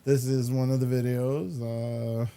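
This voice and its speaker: A man hosting a show, chatting casually and haltingly as he rambles through commentary on video clips. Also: medium pitch, little pitch movement, gravelly, mellow, smooth. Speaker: show host.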